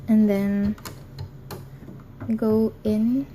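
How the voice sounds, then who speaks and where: A woman's voice: medium pitch, little pitch movement, extremely slow, dark tone, slight background noise. A young woman hosting a crafting tutorial, talking hesitantly with many ums and apologies, recorded in an office. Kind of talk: casual how-to tutorial.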